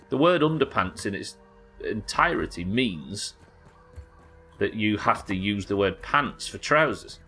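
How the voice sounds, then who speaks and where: A man's voice: mid-range voice, a bit bright, husky. A young British man hosting a live gaming stream, casually rambling and reading chat messages aloud as he plays. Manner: rambling, reads chat messages aloud and muses over them. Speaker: streamer host.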